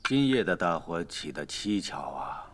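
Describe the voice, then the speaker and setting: Deep-voiced, commanding middle-aged duke. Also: male voice, sing-song. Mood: grave, instructive. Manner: measured, authoritative, deliberate. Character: calculating, commanding duke nearing fifty, fatherly patriarch. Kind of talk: Chinese period drama acting.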